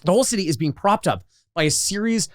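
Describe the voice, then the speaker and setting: Animated, quick-talking man on a podcast. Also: sits high. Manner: animated, emphatic, acts out quotes. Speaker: podcast co-host. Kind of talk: casual film discussion chat.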